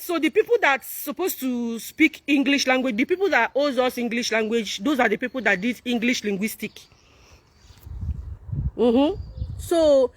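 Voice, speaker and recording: A woman's voice: sits high, slight background noise. A Nigerian woman whose first language is Igbo, talking casually and emphatically to her viewers, repeating points and asking rhetorical questions. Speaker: content creator.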